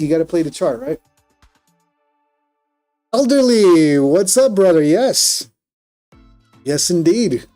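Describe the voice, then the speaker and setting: Colombian male streamer, slightly high and warm-toned, frank and self-critical. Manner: candid, self-critical, with frequent restarts. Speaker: day trader streaming live. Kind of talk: live stream commentary, reading viewer chat.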